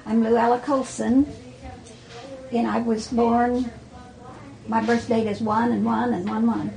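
A woman's voice: fairly high pitch, level tone, slow, gravelly, darkish tone, rough recording. A woman aged about ninety, chatting casually as an interviewee in a group. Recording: busy chatter of a group behind.

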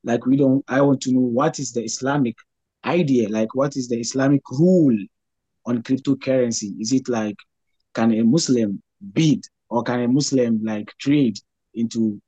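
A man's voice: mid-range voice, mellow, husky, dry recording, highs cut off. Young man, Ghanaian accent, hesitant, lots of fillers, dry close sound, video call. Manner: halting, repeats words, many "like" fillers. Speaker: interviewee, graduate student. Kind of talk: casual interview answers.

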